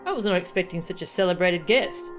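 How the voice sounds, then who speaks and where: A woman voicing a courteous, learned expedition leader in a fantasy game, with formal, wordy and measured speech. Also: lowish voice, lively intonation, faint music behind, lightly acted. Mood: courteous, guarded.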